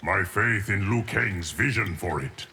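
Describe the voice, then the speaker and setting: An actor playing a grave, steadfast guardian of time in a fighting game, speaking in a measured, formal way. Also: male voice, sits low, expressive, creaky, sharp tone, slightly rough, full character voice. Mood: solemn, assured. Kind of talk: scripted fighting game dialogue.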